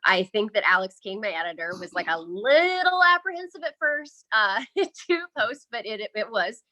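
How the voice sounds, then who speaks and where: A woman's voice: mid-range voice, big pitch swings, moderate pace, pushed and bright. A woman from the American Midwest chatting casually and candidly as an interview guest, often saying "like". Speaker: interview guest, podcaster and writer.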